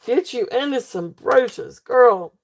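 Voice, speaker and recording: Slow-talking, gravelly-voiced auction woman. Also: a little high, lively intonation. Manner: chatty, fillers, reads comments aloud. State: losing her voice. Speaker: live sale host. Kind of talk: live auction selling chat.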